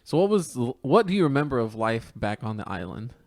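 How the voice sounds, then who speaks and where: Friendly male podcast host, mid-pitched voice. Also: sing-song, fairly quick. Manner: friendly, encouraging interviewer. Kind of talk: podcast hosting and interviewing.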